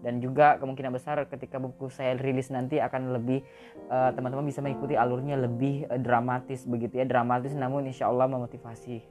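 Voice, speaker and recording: A man's voice: medium pitch, flat delivery, quick pace, a little mellow, faint music behind. A young man hosting an audio podcast, telling his own story in a casual motivational monologue, chatty and full of fillers, dropping in English words. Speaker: podcast host. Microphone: audio podcast recording.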